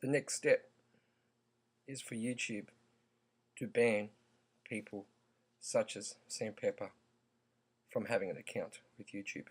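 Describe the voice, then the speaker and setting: A man with a croaky voice, giving his view in a slow, halting, earnest vlog full of pauses and ums. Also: on the low side. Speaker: vlogger. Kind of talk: opinion vlog.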